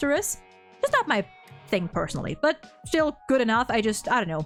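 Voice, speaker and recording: A woman's voice: fairly high pitch, very animated, fast talker, fairly bright tone, soft background music. A woman chatting casually and effusively as a host discussing a game's story, with plenty of enthusiasm. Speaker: video host.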